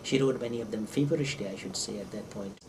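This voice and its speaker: An older man with an Indian accent and Urdu as his first language, giving an informal literary lecture in deliberate phrases with hesitations and repetitions. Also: lowish voice. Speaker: English professor, visiting scholar. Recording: distant microphone with clear background noise.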